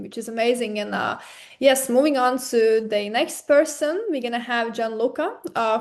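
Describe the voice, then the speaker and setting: A woman's voice: a little high, a little mellow. A woman hosting an online webinar, upbeat and grateful as she introduces the next speaker. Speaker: webinar host. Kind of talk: enthusiastic online presentation.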